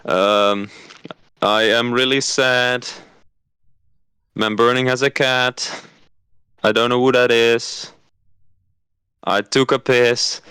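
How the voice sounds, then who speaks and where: A man's voice: mid-range voice, fairly bright tone, smooth, no echo. A man playing Freddy, an awkward, clueless amateur singer who hesitates and makes up clumsy rhymes as he goes. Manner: hesitant, halting, making up rhymes. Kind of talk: improvised comedy skit.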